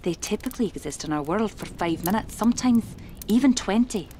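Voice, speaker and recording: A woman's voice: medium pitch, lively intonation, a little fast, warm tone, slight background noise, lightly acted. A woman playing a commanding, mysterious spirit medium of the 1920s in a horror audio drama, with formal, theatrical diction. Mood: solemn, instructive. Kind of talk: dramatic horror podcast acting.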